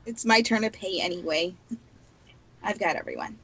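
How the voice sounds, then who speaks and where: A woman's voice: on the low side, wildly swooping pitch, a bit bright, husky, poor audio. A woman from Texas playing a tabletop game in casual, chatty talk. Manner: chatty, quick to laugh. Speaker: player.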